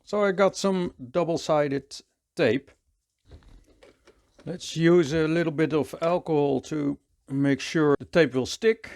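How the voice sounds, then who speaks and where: A man with a Dutch accent, calmly thinking aloud as he works through a hands-on repair tutorial. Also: on the high side, takes it slowly. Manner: calm, thinking aloud while working. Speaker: repair technician.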